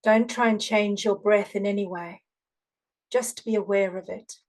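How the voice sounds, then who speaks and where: A woman guest, an energy healer, talking in a gushing, repetitive way on a video call and then softly guiding a meditation. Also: medium pitch, steady tone, unhurried. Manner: effusive, repetitive, soft-spoken when guiding. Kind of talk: casual chat then guided meditation.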